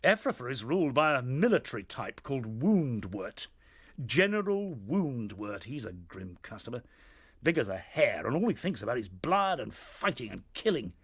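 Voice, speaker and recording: A husky British rabbit officer, grave and weary. He tells his story with dramatic, widely varying pitch in a dry, close radio drama sound. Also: very animated, moderate pace, dry recording. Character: weary, earnest male rabbit officer recounting hardship.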